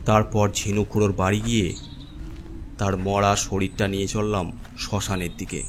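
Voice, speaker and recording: A man's voice: lowish voice, little pitch movement, normal speed, a little hiss or hum. A man narrating a scripted first-person horror story as its storyteller. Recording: clear music bed under narration. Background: background music.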